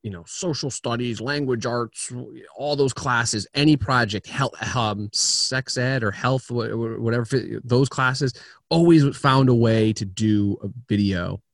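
A man talking casually as a guest on a video-call interview from home, chatty and lively as he tells stories about himself. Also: medium pitch, no echo, studio quality. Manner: chatty storyteller, quick and animated.

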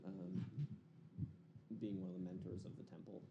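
A man with a fairly low voice improvises as a tabletop game master. His delivery is casual and halting, and there is clear noise in the recording. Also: flat delivery, highs cut off.

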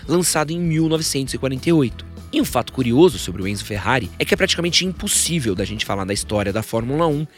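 A man's voice: mid-range voice, animated, fast, few pauses, soft background music. A man hosting a scripted biographical podcast, with informal joking asides. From São Paulo, Brazil. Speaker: podcast host. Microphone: studio podcast microphone.

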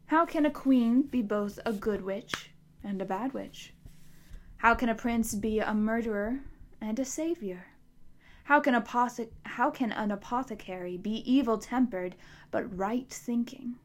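A woman voicing a huge, ancient tree monster that speaks calmly and slowly. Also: on the high side, natural voice. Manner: slow, measured, soothing.

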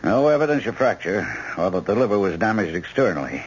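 An actor playing a brisk, decisive hospital surgeon in a radio drama, with a commanding, clipped, pensive way of talking. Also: male voice, on the low side, sing-song, slightly rough. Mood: urgent, grave. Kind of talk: 1970s radio drama acting. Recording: muffled old radio broadcast recording.

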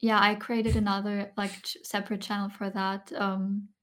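A young woman whose first language is German speaks as a guest on a podcast, her pitch staying flat and level. She talks hesitantly, with frequent ums and restarts. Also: moderate pace. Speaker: podcast guest, ASMR creator. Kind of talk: casual interview chat.

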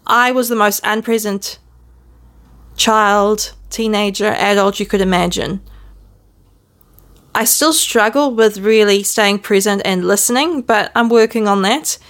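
A woman with a New Zealand accent hosting her own podcast, talking to camera in a gentle, candid, self-deprecating way, filmed at home. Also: mid-range voice, unhurried. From born in the Netherlands, raised in New Zealand. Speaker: podcast host. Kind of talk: casual reflective monologue to camera. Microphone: self-filmed video camera.

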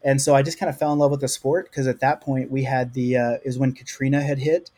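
A man from the US South speaks with a light Oklahoma accent, giving an interview on a podcast. He rambles earnestly, full of "like"s, in a mellow, dark tone. His pitch stays flat and even. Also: quick pace. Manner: rambling, earnest, lots of likes. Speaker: podcast guest. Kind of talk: casual interview.

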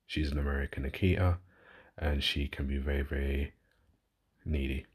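Thirties London man, podcast host, very low flat delivery, loose and rambling. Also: normal speed, slightly rough. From London, England. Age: 30s. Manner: rambling, self-correcting, frequent fillers. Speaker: podcast host and fitness coach. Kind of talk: casual personal monologue.

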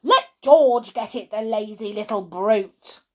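Woman acting a cantankerous, shouty old grandmother. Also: on the high side, big pitch swings, slow pace, full character voice. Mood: demanding, grumbling. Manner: shouting, accusing, self-pitying. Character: cantankerous, greedy old grandmother, huge bullying hag.

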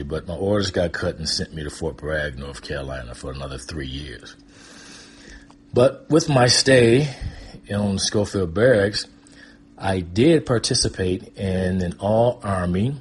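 An American man with a very low-pitched voice shares personal memories as a guest storyteller. He talks informally and wanders from one thought to the next. There is noticeable background noise on the recording. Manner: rambling, conversational. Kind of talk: casual autobiographical storytelling.

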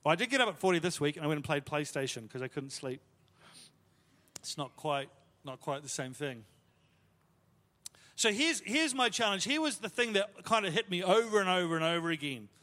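A man delivers a sermon through a series of stories, relaxed and conversational, stumbling over fillers and poking fun at himself. His voice sits a little higher than most men's. A long reverb trails each phrase, as if from a large church hall. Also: a little fast, long pauses. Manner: conversational, self-deprecating, lots of fillers. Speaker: pastor. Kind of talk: sermon storytelling.

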